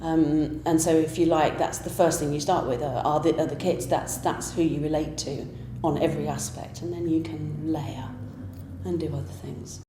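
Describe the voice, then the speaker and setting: A woman's voice: sits low, steady tone, moderate pace, noisy background. A British woman, a pantomime writer and director, speaking emphatically and firmly in a casual interview. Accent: British English. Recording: roomy echo.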